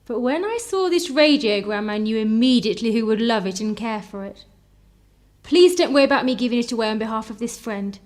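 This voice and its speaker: A woman's voice: a little high, lively intonation, a little fast, darkish tone, natural voice. An actress playing a kindly, polite woman voicing her own letter in a radio drama. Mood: gracious.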